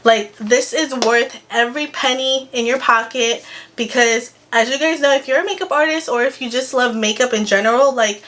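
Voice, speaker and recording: A young woman with a slightly raspy voice, chatting casually and gushing through a makeup review for her channel. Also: on the high side, moderate pace, fairly bright tone. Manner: chatty and gushing, frequent "like" and "girl". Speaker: beauty vlogger.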